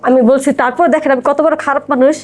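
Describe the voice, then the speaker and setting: A woman's voice: high-pitched, fairly even intonation, very fast, a little mellow. A woman with a Bangladeshi accent telling her personal story casually as a guest, often acting out quoted dialogue.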